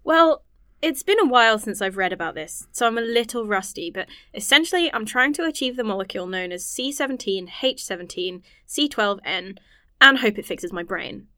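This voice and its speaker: Self-conscious woman scientist, earnest and rambling, naturalistic audio drama, medium-pitched voice. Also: expressive, moderate pace, sharp tone, no room sound, clean studio sound. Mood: absorbed, rambling.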